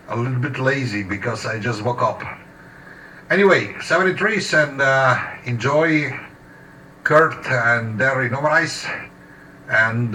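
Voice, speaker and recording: Male amateur radio operator, hesitant delivery with a bright edge, picked up a little away from the mic. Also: medium pitch. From Slovenia. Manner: halting, hesitant, searching for words. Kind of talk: casual ham radio chat. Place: home radio shack. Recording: distant mic with faint background noise.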